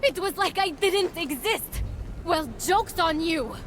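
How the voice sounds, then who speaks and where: An actress playing an earnest, defiant young heroine and little sister in a dramatic video game cutscene. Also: female voice, very high-pitched, lively intonation, slow pace, noisy background, heavily acted. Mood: hurt, challenging. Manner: emotional, dramatic. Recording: loud music behind the voice.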